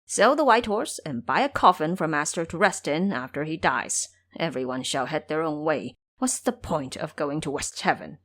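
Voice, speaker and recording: A woman's voice: sits low, expressive, moderate pace, few pauses, fairly bright tone, pitched below the performer's own voice. A woman voicing a lazy, blustering old male boar monster in an audio drama, grumbling and blunt. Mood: resigned.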